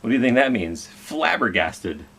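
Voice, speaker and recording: A man hosts a children's storytime, reading aloud with big swings in pitch. His voice is husky and fairly low, and he tells the tale dramatically, pausing to put questions to young listeners. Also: expressive, fairly bright tone. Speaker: library storytime host reading a picture book. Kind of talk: expressive children's read-aloud.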